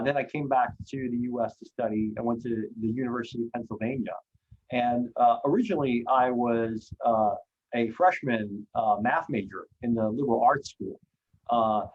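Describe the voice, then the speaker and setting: A man's voice: medium pitch, darkish tone, muffled recording. Man telling his career story, many fillers. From grew up in Hong Kong. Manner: frequent "uh" fillers, candid and self-deprecating. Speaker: invited guest speaker.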